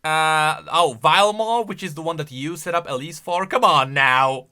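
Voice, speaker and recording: A man hosting off-the-cuff commentary on video game lore in an excitable, emphatic way. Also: fairly high pitch, moderate pace, soft background music.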